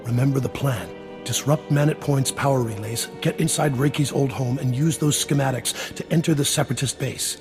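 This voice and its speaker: An actor playing a fat, gruff, worried underworld boss with a wry, blunt manner in a video game. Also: male voice, mid-range voice, normal speed, music in the background, natural voice. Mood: harried. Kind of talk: video game character dialogue.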